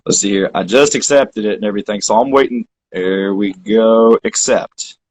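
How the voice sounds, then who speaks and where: A man's voice: on the low side, moderate pace, a little mellow, a little hiss or hum, low-quality recording. A middle-aged man with a Southern accent, hosting a casual livestream interview in a folksy, effusive way. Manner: folksy and effusive, thanks guests at length. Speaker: interview host.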